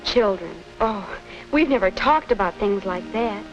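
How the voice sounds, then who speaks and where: A woman's voice: mid-range voice, animated, a little slow, close to an everyday voice. An actress playing an earnest, wondering young American woman in a 1950 educational film, with a self-questioning way of talking. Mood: pensive. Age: young adult. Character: earnest young woman unsure about her romance. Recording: dull vintage 1950s film soundtrack with music.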